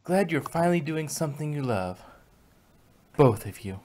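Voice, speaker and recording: A woman's voice: low-pitched, expressive, normal speed, darkish tone, slight background noise. Studious cartoon pony mare voiced by a woman, reflective, kindly and sincere, for an amateur story reading. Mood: warm. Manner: earnest, reflective. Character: studious young female pony princess, earnest and kindly. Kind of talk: fan fiction character reading.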